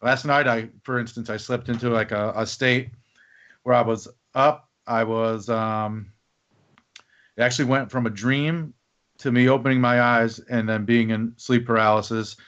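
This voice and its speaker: A man's voice: medium pitch, steady tone, normal speed, a bit bright. A man hosting a podcast, talking casually and rambling with fillers. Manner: rambling, with fillers and back-channel murmurs. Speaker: podcast host. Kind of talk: casual conversation. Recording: dull lo-fi podcast audio.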